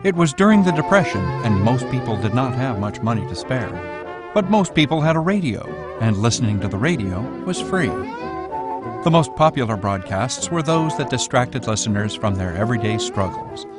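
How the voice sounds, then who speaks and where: A man narrates a scripted documentary as its presenter. His voice sits at a middle pitch, with music clearly playing behind it. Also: sing-song, moderate pace.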